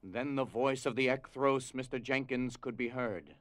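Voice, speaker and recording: A man narrating a scripted drama as storyteller, with grave, deliberate phrasing. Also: medium pitch, a bit bright, no echo.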